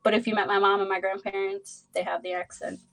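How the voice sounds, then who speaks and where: A woman's voice: medium pitch, little pitch movement, quick pace, a bit bright, poor audio. Chatty woman with a Boston accent. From Boston, USA. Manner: rambling, many fillers, greets chat viewers. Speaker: tarot reader hosting a livestream. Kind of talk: casual livestream chat.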